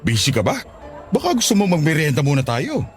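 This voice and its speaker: Wildly swooping, excitable pleading man. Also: a little high, a little fast. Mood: coaxing. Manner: coaxing, excitable. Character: pleading middle-aged male ex, cheeky and eager. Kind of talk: Tagalog radio drama acting. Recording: music bed behind voice.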